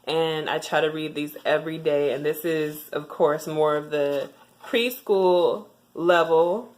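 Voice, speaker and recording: Young woman, low voice, relaxed vlog tutorial, chatty and halting with ums. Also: poor audio. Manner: chatty, with frequent ums and restarts. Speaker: vlogger sharing language tips. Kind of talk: casual tutorial talk to camera.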